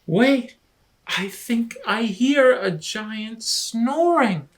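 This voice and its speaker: Woman doing a shrill, excitable storybook rabbit. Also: drawn-out words. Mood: nervous. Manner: high, excited shouting. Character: excitable small male rabbit.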